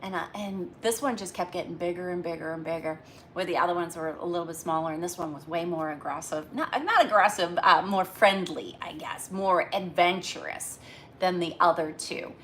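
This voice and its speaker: Woman, livestream host, bright forward voice, casual rambling chat, noticeable background noise. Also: on the low side, normal speed. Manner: chatty, rambling, greeting viewers by name. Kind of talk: casual live chat with viewers.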